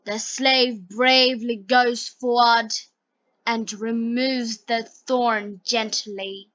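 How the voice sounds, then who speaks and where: Very slow, bright Bengali-accented woman. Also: on the high side, fairly even intonation, dry recording, muffled recording. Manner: deliberate, carefully enunciated short phrases. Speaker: English teacher. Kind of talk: slow scripted story reading and vocabulary lesson for learners.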